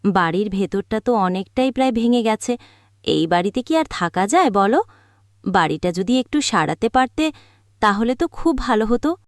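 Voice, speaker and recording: Lady doing a gentle worried village wife for a cartoon, earnest, coaxing, close dry sound. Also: on the high side, animated, moderate pace, no echo, lightly acted. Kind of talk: Bengali cartoon fairy-tale acting.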